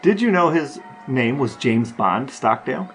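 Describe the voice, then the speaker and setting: A man's voice: mid-range voice, expressive, unhurried, faint music behind. A man co-hosting a podcast in casual chat, reading out quotes and bits of trivia. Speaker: podcast co-host.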